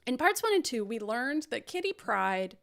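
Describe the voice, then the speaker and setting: High-voiced woman hosting a casual stream. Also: very animated, moderate pace, gravelly. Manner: sardonic, laughs while talking. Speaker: host introducing cast and recapping. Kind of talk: casual comedic livestream chat.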